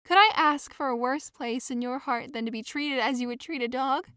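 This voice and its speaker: A woman's voice: high voice, normal speed, fairly bright tone, smooth, dry recording, studio quality. A woman playing a lovesick, devoted young woman in an audio drama, pleading earnestly and dramatically. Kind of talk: theatrical audio drama acting.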